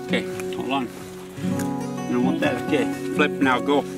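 A man in his thirties explaining things casually and haltingly, like a farmer talking to camera out in an open field. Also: mid-range voice, steady tone, gravelly, over loud music. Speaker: farmer and vlogger. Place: outdoors in an open farm field.

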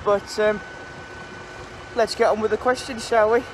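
Young man, fairly high voice, casual train vlogger, halting delivery, loud rail noise behind. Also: little pitch movement. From England. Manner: halting, restarts phrases, enthusiastic about trains. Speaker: vlogger answering viewer questions. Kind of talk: casual Q&A narration. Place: on a train and at stations.

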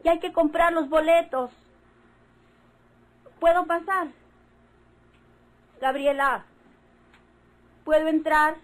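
A woman's voice: sits high, fairly even intonation, slow, long pauses. An actress playing an emotional, pleading Mexican mother and wife in an old-time radio melodrama. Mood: anxious calling. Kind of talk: golden-age radio drama acting.

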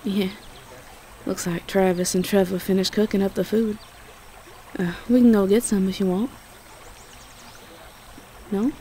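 A woman's voice: mid-range voice, fairly even intonation, measured pace, pauses often, dark tone, a little hiss or hum, lightly acted. A woman playing an affectionate, cheerful young Southern belle girlfriend in a roleplay audio, with a Southern US accent. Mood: tender. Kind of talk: intimate roleplay audio acting.

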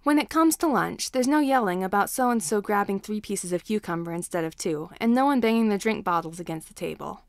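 A woman's voice: medium pitch, lively intonation, fairly quick, hardly any pauses, no echo, clean studio sound. A woman narrating a scripted story as a fan fiction reader.

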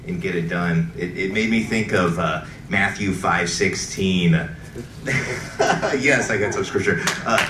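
A man graduating from a church program, speaking haltingly with many fillers on a church stage. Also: deep voice, sharp tone. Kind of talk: graduation speech. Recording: echoey hall from far off, noise.

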